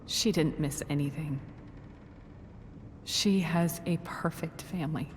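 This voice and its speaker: Resigned, guilt-worn mother in game drama: deep female register, measured and very unhurried, echoing space. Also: slight background noise. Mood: quietly firm. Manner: measured, somber, terse. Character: broken, resigned, guilt-ridden estranged mother, weary and stubborn. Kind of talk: dramatic video game cutscene acting.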